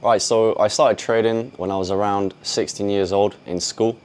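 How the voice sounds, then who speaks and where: A man in his twenties, from Poland and raised in the UK, answering interview questions in a relaxed, conversational way. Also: lowish voice, moderate pace. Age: 20s. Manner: relaxed, conversational, addresses viewers directly. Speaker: podcast guest, futures trader.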